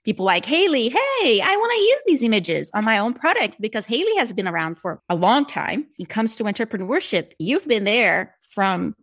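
A woman's voice: a little high, moderate pace, few pauses. A middle-aged woman from Brazil, a Portuguese native speaker with a Brazilian Portuguese accent, telling her career story as a guest in a casual podcast interview. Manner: chatty, animated storyteller. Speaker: guest, speech-language pathologist and app developer.

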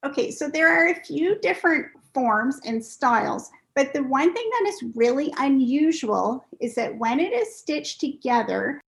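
Woman podcast guest, higher voice with a lot of fry, patient step-by-step explainer. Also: lively intonation, a little slow, few pauses, fairly bright tone. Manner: explains in steps, patient and clear. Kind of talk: casual interview talk.

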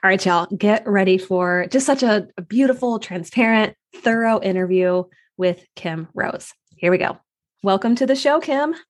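A woman's voice: medium pitch, moderate pace. A woman hosting a podcast, introducing her guest in an upbeat, effusive way. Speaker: podcast host.

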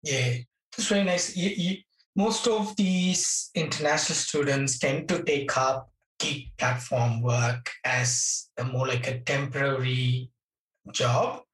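A man interviewing a guest conversationally, in a halting way, repeating phrases for emphasis. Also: mid-range voice, steady tone, a bit bright. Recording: roomy echo, distant from mic.

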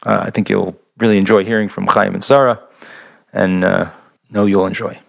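A man's voice: deep voice, little pitch movement, normal speed, gravelly, fairly bright tone. A man hosting a podcast, chatting casually and eagerly, often restarting and repeating his words. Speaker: podcast host. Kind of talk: casual interview chat.

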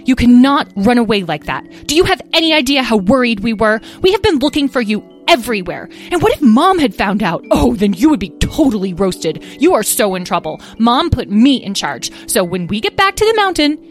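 A woman's voice: fairly high pitch, sing-song, fairly quick, few pauses, faint music behind, voice pushed up. A woman voicing a young bossy female golden dragon in charge of her siblings, scolding and exasperated.

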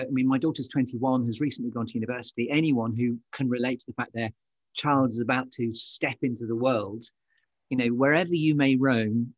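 A man's voice: mid-range voice, no echo, highs cut off. A middle-aged man, hosting a podcast interview in an effusive, affirming conversational manner. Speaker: podcast host.

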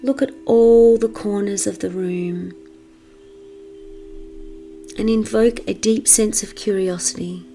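A woman leading a guided meditation for children in a gentle, measured manner with long pauses. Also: mid-range voice, fairly even intonation, creaky, slight background noise.

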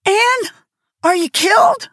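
A woman voicing a frantic young girl who pleads desperately in a high shriek. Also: expressive, slow, a bit bright, dry recording, studio quality, exaggerated voice.

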